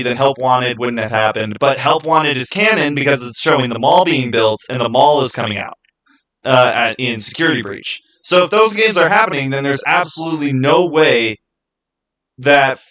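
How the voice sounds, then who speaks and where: Man, livestream host, bright voice, chatty, rambling, thinking aloud, casual, mid-pitched. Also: fairly quick, rough recording. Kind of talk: casual livestream chat, answering viewer comments.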